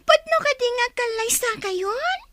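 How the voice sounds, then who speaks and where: A woman's voice: very high voice, lively intonation, moderate pace, bright, forceful tone, a little hiss or hum, voice pushed up, heavily acted. A woman voicing a small, sweet child talking to mother in a radio drama. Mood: hesitant, eager.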